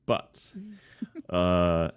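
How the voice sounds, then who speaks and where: A man's voice: low voice, very slow, clean tone. A man hosting a livestream with casual, jokey chat, introducing things and welcoming viewers informally. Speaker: stream host.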